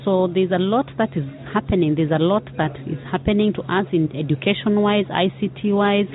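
A woman from Kenya answering interview questions for a tourism board, earnest and halting, with many fillers and repeated words. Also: medium pitch. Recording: phone-like narrow band.

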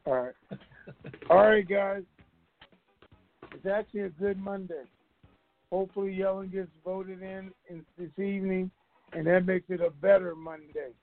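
A man's voice: high-pitched, steady tone, a little slow, long pauses. A man hosting a conference call, talking informally and in a rambling, conversational way to his listeners. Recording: dull, lo-fi conference call line.

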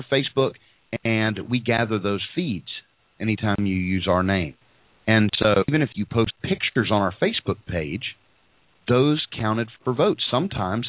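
A man's voice: lowish voice, dry recording. A middle-aged man hosting a podcast, sounding congested and froggy, rambling through announcements with many ums and restarts. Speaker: podcast host. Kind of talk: casual show announcements. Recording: tinny phone-like line.

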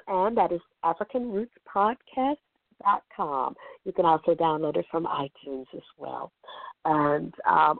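Hoarse, gravelly woman on a phone line. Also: lowish voice, dry recording. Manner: enthusiastic, emphatic. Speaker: genealogist and podcaster, guest. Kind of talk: interview answers. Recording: tinny phone line, dry.

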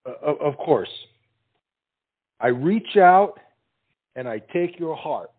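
A man acting a dim, nervous young suitor in a stage comedy, heard through tinny, narrow sound. He stammers and dodges in a fairly high voice that swings up and down. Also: lively intonation, slow, breathy edge, heavily acted. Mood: floundering, bashful. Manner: hesitant, stammering, evasive. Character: tongue-tied, dim, anxious young suitor soldier.

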